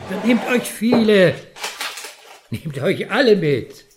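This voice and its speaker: An older actor playing a kindly, hesitant grandfather in a radio drama, gently insistent. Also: high voice, very animated, slow, noisy background, exaggerated voice. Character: kindly old grandfather offering sweets to children.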